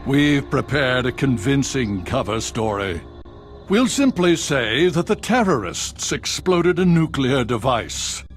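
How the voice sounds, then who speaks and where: A cold, scheming government official who speaks slowly and smoothly. His delivery is condescending and his pitch moves a lot. Game music runs behind him. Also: sing-song. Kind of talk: video game cutscene.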